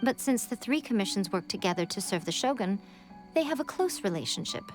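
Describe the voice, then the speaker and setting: An actress playing a calm, confident young female strategist who speaks briskly and gives orders. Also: mid-range voice, faint music behind, lightly acted. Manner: brisk and assured, giving orders. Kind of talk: game cutscene dialogue.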